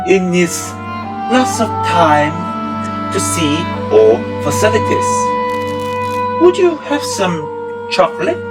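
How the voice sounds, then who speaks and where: An actor playing an enthusiastic research team leader in an amateur audio drama, speaking halting, stilted English. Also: mid-range voice. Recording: music under the voice.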